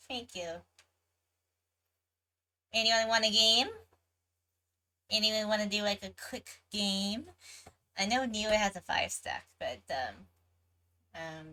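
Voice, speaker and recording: Slow, easygoing woman livestreamer. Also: mid-range voice, bright, forceful tone. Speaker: livestreamer, VTuber host. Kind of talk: casual livestream chat and game reactions.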